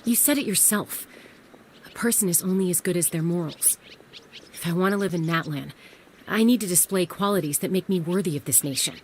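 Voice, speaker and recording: A woman's voice: lowish voice, brisk, a little mellow, a little hiss or hum, close to an everyday voice. A woman playing a proud, headstrong older sister and young fighter, defiant and curt, in a video game. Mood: resolute. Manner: defiant, self-assured, curt.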